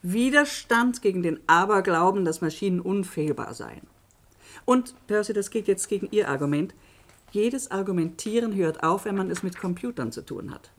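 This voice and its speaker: A woman's voice: medium pitch, animated, normal speed. An actress playing an earnest middle-aged woman academic in a German radio drama, lecturing in an articulate, formal, cautionary way. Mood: grave, lecturing. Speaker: actor in a radio play.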